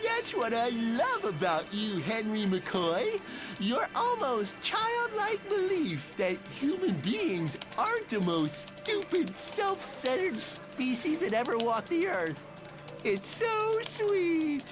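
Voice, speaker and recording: A scornful young female mutant, high and very animated in pitch. She talks slowly, cynical and coquettish, in comic-book drama over music. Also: heavily acted. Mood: mock sweet. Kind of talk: dramatic motion comic voice acting.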